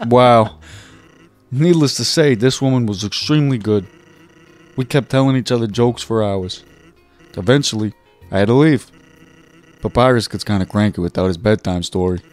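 A man playing a video game on a let's play, reading a character's dialogue aloud in a relaxed, jokey way. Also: medium pitch. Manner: laid-back, jokey, reads lines aloud. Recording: faint game music behind.

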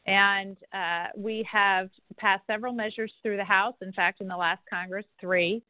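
A woman's voice: medium pitch, fairly even intonation, unhurried, dry recording. Woman on a tinny phone line. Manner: conversational, with frequent restarts and fillers. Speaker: congresswoman hosting a town hall. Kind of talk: answering constituent questions.

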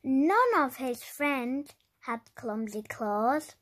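A very high-pitched, childlike voice reads a picture book aloud. The delivery is extremely slow and deliberate, with dramatic emphasis suited to young children. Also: lively intonation, a bit bright. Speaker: children's storybook reader. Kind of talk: expressive read-aloud narration for young children.

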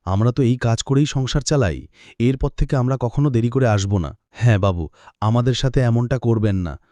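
Man acting a bright-voiced, earnest but not shrill poor fisherman. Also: moderate pace, no echo, close to an everyday voice. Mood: pleading. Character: poor, anxious village fishermen and their boss. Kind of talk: cartoon voice acting.

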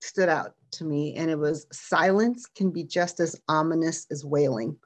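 A woman's voice: deep voice, normal speed. A middle-aged woman, a nurse, speaking reflectively in a panel discussion on a video call. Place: plain room indoors. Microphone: computer mic on a video call.

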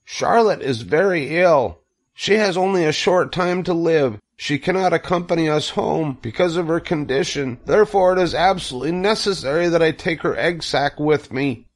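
A man's voice: on the high side, normal speed, voice pushed up, lightly acted. A man voicing an emotional young storybook pig who cries out and pleads desperately with his friends. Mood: desperate pleading. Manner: crying out and pleading.

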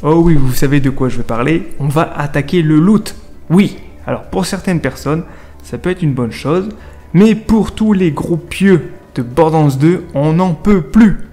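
A man's voice: a little high, measured pace, dark tone. A man, giving a casual, slangy video game review with conversational asides to viewers. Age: 30s. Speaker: gaming video creator and reviewer. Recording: music under the voice. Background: music.

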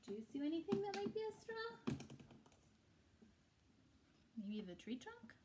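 A woman's voice: very high voice, very animated, unhurried, background noise. A woman in her thirties reading a children's story aloud indoors. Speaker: picture book reader. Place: small room indoors.

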